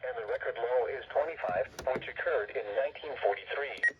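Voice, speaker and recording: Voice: medium pitch, a little fast, breathy edge. A synthetic male announcer reading a scripted weather bulletin, heard through a small radio speaker. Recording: muffled small radio speaker, noisy, distant.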